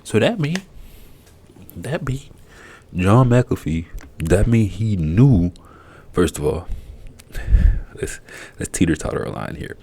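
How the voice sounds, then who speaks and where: A man's voice: lowish voice, sing-song, slow pace, long pauses, dark tone, slight background noise. A man whose first language is Haitian Creole, giving casual, profane, rambling commentary. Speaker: vlogger.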